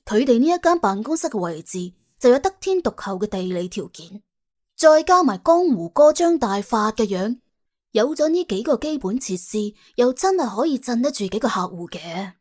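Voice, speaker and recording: A woman's voice: a little high, big pitch swings, normal speed. A woman with a Cantonese accent narrating an audiobook as dramatic, wry storytelling. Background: soft background music.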